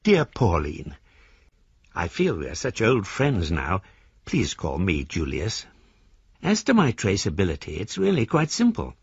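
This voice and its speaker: An actor playing a philosophical fugitive embezzler in a British radio drama, a mannered gentleman with a British accent reading his formal, ornate letters aloud. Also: low voice, huge pitch swings, measured pace, creaky, breathy edge, no room sound, muffled recording, exaggerated voice. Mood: confiding, philosophical.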